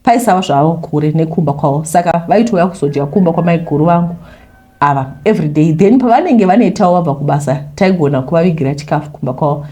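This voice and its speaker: A Zimbabwean woman narrates a personal confession at a brisk pace. Her voice is soft-edged and mellow, sitting a little low. She keeps it conversational, slipping English phrases into the story. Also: lively intonation. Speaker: YouTuber reading a listener's confession.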